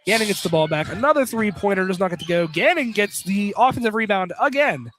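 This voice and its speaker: Higher-pitched male basketball play-by-play announcer, noisy broadcast. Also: animated, fast talker. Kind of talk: live radio sports commentary with casual chat. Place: at a college basketball game. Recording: noisy live sports broadcast with clicks.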